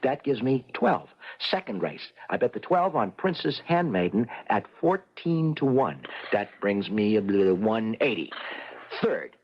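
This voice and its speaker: A man's voice: lowish voice, very animated, a little slow, husky, lo-fi sound, heavily acted. An actor playing a timid, gullible office man in an old-time radio comedy, hesitant and earnest. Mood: anxious, earnest. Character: timid, gullible married office man turned nervous gambler.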